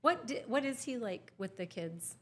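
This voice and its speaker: A woman's voice: fairly high pitch, animated, moderate pace, a bit bright. A woman hosting a podcast interview at a wine bar, encouraging her guest with frequent short affirmations. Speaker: podcast host. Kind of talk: casual interview.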